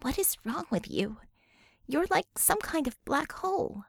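A woman's voice: sits high, very animated, measured pace, vocal fry, no echo, studio quality, voice pushed up, full character voice. A woman voicing a wry, motherly female medic boss in an audiobook, informal and salty, reassuring yet bossy. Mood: frustrated worry.

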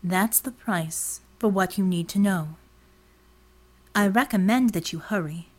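A woman voicing a cryptic, demanding informant in an audiobook, delicate and breathy, speaking in a carefully neutral, measured way. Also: mid-range voice, darkish tone, close to an everyday voice. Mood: coolly neutral. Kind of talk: audiobook character dialogue.

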